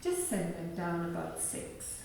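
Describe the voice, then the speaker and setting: A woman's voice: lowish voice, sing-song, unhurried, vocal fry, a little mellow, slight background noise, exaggerated voice. A woman playing a kindly, fussing, chatty married neighbour in a radio play. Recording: echoey room, far from mic.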